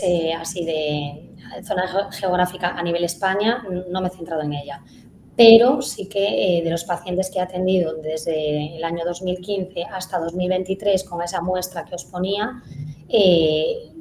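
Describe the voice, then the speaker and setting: Speech therapist, woman, lowish voice, hesitant, roomy echo. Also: darkish tone. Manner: hesitant, many fillers. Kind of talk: live conference Q&A. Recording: echoey room, faint noise.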